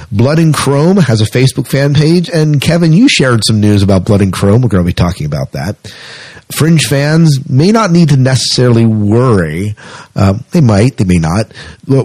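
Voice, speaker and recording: Low-pitched, slightly husky man hosting a podcast. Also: darkish tone, no echo, poor audio. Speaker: podcast host. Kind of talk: casual podcast talk.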